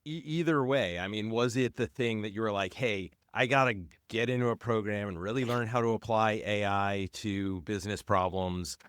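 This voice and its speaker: A man hosting a career interview, asking relaxed, probing follow-up questions in a conversational way. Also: lowish voice, moderate pace, few pauses, clear, resonant tone, dry recording, clean studio sound.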